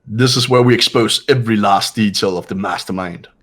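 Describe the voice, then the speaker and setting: A man voicing a stern, resolute young leader in a video game, speaking gravely and with authority. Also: on the low side, a little fast, fairly bright tone. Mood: commanding. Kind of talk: amateur voice acting of a video game.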